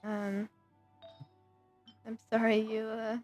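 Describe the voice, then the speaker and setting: A woman's voice: a little high, completely flat delivery, takes it slowly, darkish tone, faint music behind. A woman streamer thinking aloud during gameplay, murmuring hesitantly with many ums. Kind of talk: casual gameplay commentary.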